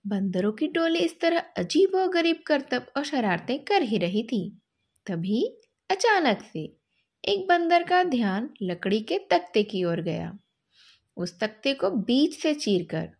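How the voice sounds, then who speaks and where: A woman telling a story to children expressively, in a gentle, teaching way. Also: high-pitched.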